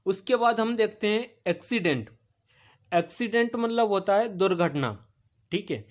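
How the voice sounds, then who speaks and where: A male teacher, giving a recorded vocabulary lesson. He repeats each meaning as a question, answers it himself, and pauses on "uh". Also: high-pitched. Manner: steady explaining pace with filler "अ" pauses.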